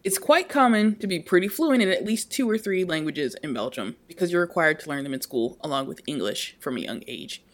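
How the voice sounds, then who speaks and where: Lively woman reading a post aloud. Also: sits low, brisk, few pauses. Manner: animated reading, laughing at herself. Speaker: podcast co-host.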